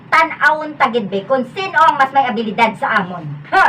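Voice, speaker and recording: A woman's voice: sits high, animated, brisk, pushed and bright. A woman acting in a comedy radio drama as a scheming middle-aged mother, bossy, wheedling and theatrically exclamatory. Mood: scolding, threatening. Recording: noisy, distant-sounding room recording.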